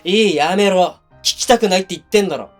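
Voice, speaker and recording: A man's voice: sits high, fast, faint music behind. A male actor playing a defensive, hot-tempered young boyfriend in a voice drama, speaking bluntly and casually to the listener up close. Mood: hurt, accusing. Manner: blunt, casual masculine speech. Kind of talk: situation voice drama addressed to the listener. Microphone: close binaural microphone.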